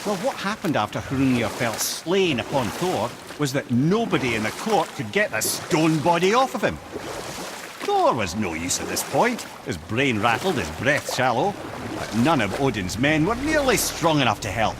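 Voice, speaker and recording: An actor playing a wise, wry old talking head with a Scottish accent, telling tales theatrically in a video game. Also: male voice, medium pitch, sing-song, normal speed, natural voice. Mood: wry, knowing. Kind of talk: dramatic storytelling in a video game. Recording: game audio with clear water and boat noise.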